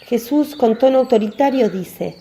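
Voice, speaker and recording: A woman narrates a religious radio drama from a script. Her voice is very mellow and dark, with noticeable creak at a slightly raised pitch. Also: normal speed.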